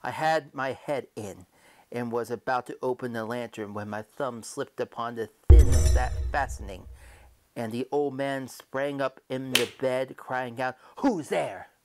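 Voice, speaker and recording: Male narrator, unhurried pace, mid-pitched voice, dramatic gothic reading, deliberate and halting, old-time radio storytelling. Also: background noise. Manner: theatrical, deliberate, halting, swinging between hushed and emphatic.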